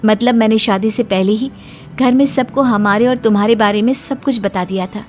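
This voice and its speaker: A woman narrator voicing a bold young wife in a story, pointed, deliberate and confrontational. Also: on the high side, close to an everyday voice. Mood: calm, firm. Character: bold young wife calmly taunting a guilty man. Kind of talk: dramatized story dialogue. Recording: music bed under the voice.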